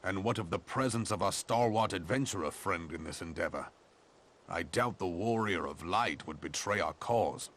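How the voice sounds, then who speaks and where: Low, forceful, loyal royal advisor. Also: male voice, slight background noise. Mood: measured, reassuring. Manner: measured, deferential, firm. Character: steadfast, loyal male advisor and commander serving his queen. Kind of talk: dramatic fantasy game acting, formal archaic speech.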